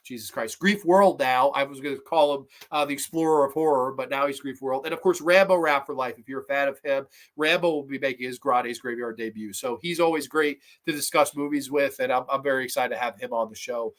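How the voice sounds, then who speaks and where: A man's voice: medium pitch, fairly quick, hardly any pauses. A young man hosting a horror movie livestream, rambling and opinionated, swearing casually and a little congested with a slight cough. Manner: rambling, opinionated, swears casually, reads chat comments aloud. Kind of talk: casual livestream review chat.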